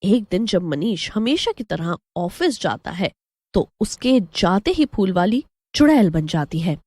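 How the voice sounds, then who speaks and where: A woman's voice: medium pitch, sing-song, measured pace, warm tone, no room sound. A woman narrating a scripted horror story as a storyteller.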